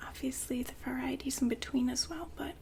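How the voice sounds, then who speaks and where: A woman whispering softly and politely in an ASMR customer-service roleplay, breathy and gently hesitant. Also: high voice, fairly even intonation, slight background noise. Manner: soft-spoken, polite, unhurried, gently hesitant. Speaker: ASMR roleplay performer as a shop consultant.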